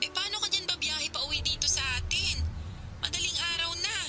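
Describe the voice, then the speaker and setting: A woman's voice: very high-pitched, fairly quick. A woman playing an older sister anxiously questioning her younger sibling over the phone in a radio drama. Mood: worried. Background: background music.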